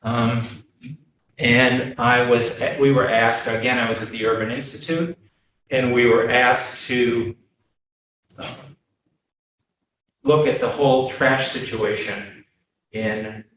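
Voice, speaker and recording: An older American man talks through an informal slide lecture in a halting, anecdotal style. His pitch sits in the middle range. He is recorded from a distance in a very reverberant room. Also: fairly even intonation, a bit bright, a little hiss or hum. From Boston, United States. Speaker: guest lecturer, development consultant. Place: echoey meeting room before a small audience. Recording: very echoey room, distant microphone.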